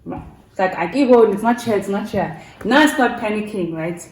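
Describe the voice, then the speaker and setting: A woman's voice: medium pitch, a little fast. A young woman with a South African accent chats and tells stories informally, switching between languages as she goes. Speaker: YouTuber hosting a story time with her mother. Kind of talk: casual chat and storytelling. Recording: roomy, echoing indoor sound.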